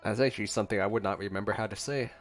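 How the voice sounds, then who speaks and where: A man's voice: on the low side, rapid-fire. A man giving casual gameplay reaction commentary as a streamer, with game music behind him. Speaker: gaming streamer.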